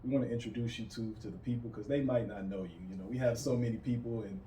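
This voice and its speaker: A man's voice: medium pitch, steady tone, fast, mellow. A man hosting a casual podcast interview. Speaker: podcast host. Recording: roomy, distant mic, faint noise.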